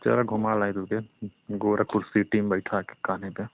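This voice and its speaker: A man's voice: lowish voice, little pitch movement, moderate pace, dry recording. A man with a Bhojpuri accent from eastern Uttar Pradesh, chatting casually, flirting and needling on a recorded phone call. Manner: teasing, needling.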